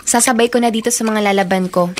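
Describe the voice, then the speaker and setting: Woman playing polite filipino schoolgirl, highish voice, radio drama, slight noise behind. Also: fairly even intonation, fast, a little mellow, natural voice. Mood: worried, confiding. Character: respectful, dutiful schoolgirl, youngest daughter.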